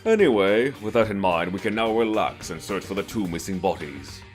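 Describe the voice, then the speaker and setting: A man's voice: deep voice, lively intonation, brisk, faint music behind. A man playing a cold, haughty, impatient young male student in an anime game fan dub, with a condescending, commanding manner. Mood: cold, dismissive.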